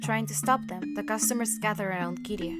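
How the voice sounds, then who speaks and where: A woman reading a visual novel aloud as a storyteller, with expressive narration. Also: medium pitch, a little fast. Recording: loud music behind the voice. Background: game music.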